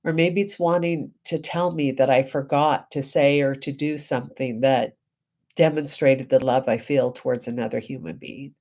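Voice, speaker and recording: A woman reverend getting over a head cold, giving a reflective spiritual talk with deliberate, emphatic phrasing and pauses. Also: very deep voice, fairly even intonation, darkish tone.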